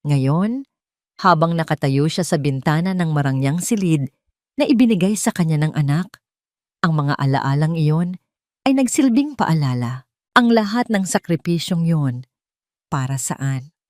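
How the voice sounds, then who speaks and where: A woman narrating an emotional drama story in a measured, scripted way. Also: deep voice, animated, darkish tone, no room sound, studio quality.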